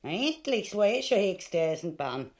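A woman's voice: medium pitch, big pitch swings, normal speed, darkish tone, natural voice. A woman playing a scheming, vulgar wife of a usurper in a grotesque comedy, scolding theatrically with an Austrian accent. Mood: pompous hostess. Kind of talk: grotesque stage comedy acting.

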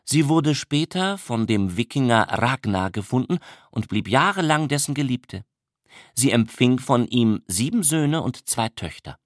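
A man narrates a literary text in a mid-pitched voice. His delivery is measured and matter-of-fact, recorded close and dry without room sound. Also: lively intonation, no echo.

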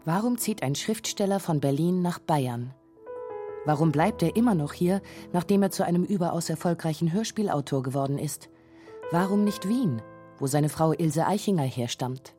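A woman narrates a radio documentary from a prepared script. Her voice sits low and has a dark, mellow tone. Faint music plays behind her. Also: lively intonation, moderate pace.

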